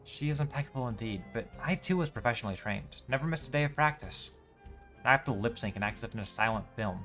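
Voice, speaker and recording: A man's voice: medium pitch, lively intonation, quick pace, a bit bright, soft background music, close to an everyday voice. A man voicing a proud, worried female musical theater performer with formal phrasing, from game dialogue. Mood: proud, aggrieved. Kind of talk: reading game dialogue aloud.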